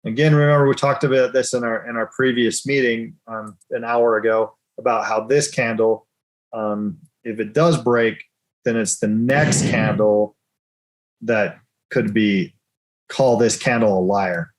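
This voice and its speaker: A man's voice: mid-range voice, steady tone, measured pace, poor audio. Casual teasing male trading host. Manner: casual, teasing, laughs often. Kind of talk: live trading chat.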